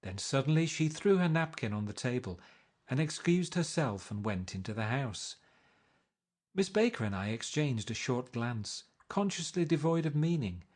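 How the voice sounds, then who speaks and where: Brief: male narrator, mid pitch, measured read-along storytelling. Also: sing-song, a little mellow. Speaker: reader. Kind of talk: reading a novel aloud.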